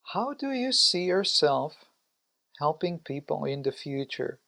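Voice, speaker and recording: A man's voice: on the high side, big pitch swings, measured pace, gravelly, pushed and bright. A man interviewing a guest on a podcast, asking open, conversational questions.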